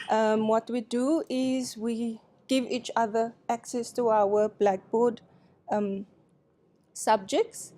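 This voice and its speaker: Slow-spoken woman lecturer. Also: fairly high pitch, warm tone. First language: Afrikaans. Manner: unhurried, candid, self-deprecating, laughs often. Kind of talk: presentation to colleagues.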